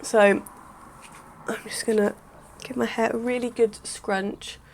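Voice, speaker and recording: Chatty woman, slightly croaky. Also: fairly high pitch, level tone, slow pace, slight background noise. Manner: chatty, rambling, lots of "sort of". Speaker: hair vlogger. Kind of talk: casual how-to vlog.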